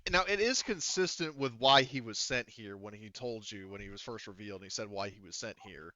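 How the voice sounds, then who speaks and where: Male game master, crisp bright voice, casual and hesitant explanations, slightly dull dry recording. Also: lowish voice, expressive, brisk, dry recording. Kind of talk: casual tabletop game narration.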